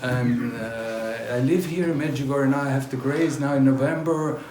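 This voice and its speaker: A man from northern Italy whose first language is German, giving a religious testimony to a pilgrim group in halting English full of fillers. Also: medium pitch, fairly even intonation, darkish tone, reverberant, a little hiss or hum. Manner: halting, searching non-native English with fillers. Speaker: pilgrimage speaker. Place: gathering at a castle.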